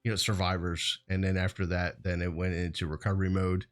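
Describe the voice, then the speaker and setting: A man's voice: sits low, little pitch movement, brisk, faint music behind. An American man hosting a show, talking in a casual, rambling, conversational way peppered with "you know". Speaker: host of a hazmat show.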